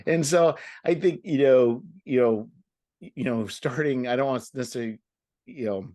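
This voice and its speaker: A man hosting a casual podcast conversation, talking in a halting way with many restarts and "you know"s. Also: medium pitch, a little mellow, no room sound. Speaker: podcast host.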